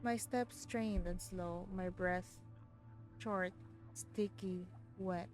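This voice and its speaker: A woman's voice: on the low side, fairly even intonation, very slow. A woman streaming a game, reading its text aloud with stumbles and breaking off to exclaim in reaction. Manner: exclamatory, stumbles over read words. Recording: game music behind the voice. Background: soft game music.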